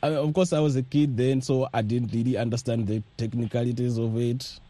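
A man's voice: mid-range voice, fairly even intonation, brisk, mellow. A man answering casually as a studio interview guest, hesitant and heavy on filler words. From rural Malawi. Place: radio studio.

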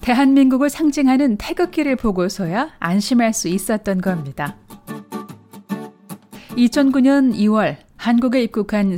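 A woman narrating a documentary news feature in a measured, formal script. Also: mid-range voice, warm tone. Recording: narration over faint music.

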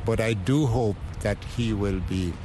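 A man from Jamaica answering interview questions in an earnest, deliberate, halting way. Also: lowish voice. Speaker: interviewed sports fan. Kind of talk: street interview, measured answers. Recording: outdoor urban background noise.

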